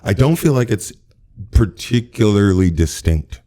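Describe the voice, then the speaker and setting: Deep-voiced, self-mocking male comedian. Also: animated, a little slow, dark tone. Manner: joking, self-deprecating. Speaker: guest comedian. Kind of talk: casual podcast chat.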